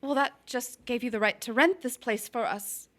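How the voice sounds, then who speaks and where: A woman's voice: a little high, normal speed, a bit bright, natural voice. A woman playing a scheming, passionate young wife in a crime drama, intense and pleading. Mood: bitter, defiant. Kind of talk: live radio-play style crime drama acting.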